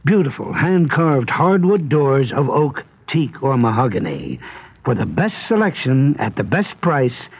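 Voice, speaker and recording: A man's voice: medium pitch, sing-song, unhurried, husky. A man reading a scripted 1970s radio advertisement in a salesmanlike announcer's manner. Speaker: commercial announcer. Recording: dull vintage radio broadcast.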